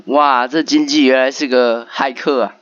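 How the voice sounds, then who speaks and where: A man's voice: mid-range voice, fairly even intonation, normal speed, a bit bright, muffled recording. A man giving casual let's-play commentary and reading game dialogue aloud. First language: Chinese. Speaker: game streamer. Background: faint game music.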